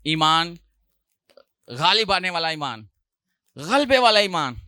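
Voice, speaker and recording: A male pastor, preaching a sermon with a sore throat, often clearing it and rising loudly on hallelujah. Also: a little high, sing-song, slow, no echo, clean studio sound. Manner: preaching with loud rises on hallelujah and jokey asides.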